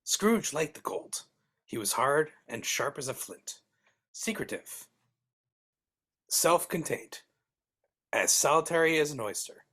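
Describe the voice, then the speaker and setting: A woman playing Charles Dickens as a genial storytelling narrator in a live dramatic reading, with a husky voice and theatrical delivery. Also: low-pitched, lively intonation, slow pace, pauses often, fairly bright tone, heavily acted. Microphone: video call. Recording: online video call track.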